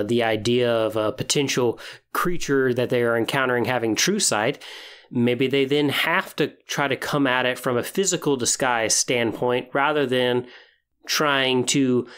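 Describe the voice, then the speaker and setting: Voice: mid-range voice, fairly bright tone. A podcast host talking solo about tabletop role-playing in a conversational, explanatory way.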